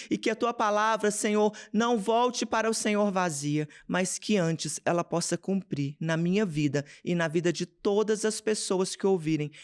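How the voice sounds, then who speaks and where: A woman from Minas Gerais, Brazil, hosts a devotional podcast from her home studio. Her voice is smooth and resonant. She talks to her listeners as if they were close friends, and she leads them in prayer. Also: on the low side. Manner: chatty, addresses listeners directly as girls and friends.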